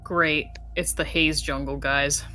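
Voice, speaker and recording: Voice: medium pitch, fairly even intonation, slow, sharp tone, soft background music. A tabletop game player chatting casually with the group.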